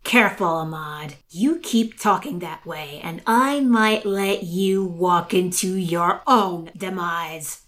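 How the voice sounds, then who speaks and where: An actress playing a bold, sharp-tongued woman at court with a dry, mocking delivery. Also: fairly low pitch, takes it slowly, few pauses. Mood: warning. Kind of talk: audio drama acting.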